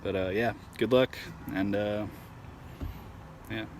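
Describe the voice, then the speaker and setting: A man's voice: on the low side, fairly even intonation, takes it slowly. A man giving a casual, modest how-to explanation in an informal, hesitant way, like a DIY video host. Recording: clear vehicle and outdoor ambient noise.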